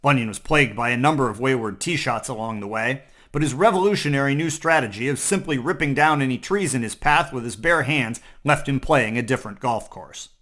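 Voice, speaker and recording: A man's voice: mid-range voice, brisk, few pauses, a bit bright. A man hosting a comedy newscast, reading scripted parody headlines in a mock-serious newscaster manner. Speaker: comedy news host. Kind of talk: scripted parody news narration.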